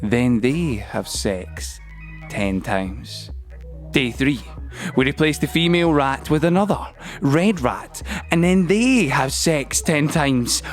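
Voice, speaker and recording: A man's voice: medium pitch, expressive, takes it slowly. A man performing a playful, nervy storyteller in an audio play, explaining science straight to the listener in a chatty, mock-lecturing way over music. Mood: playful, animated. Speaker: actor and narrator in an audio play. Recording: music bed under the voice.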